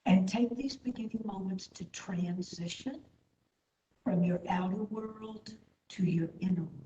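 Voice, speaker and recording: A woman leading a guided meditation as an instructor, speaking in a soothing, gentle, unhurried manner. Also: mid-range voice. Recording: echoey room, slightly dull sound.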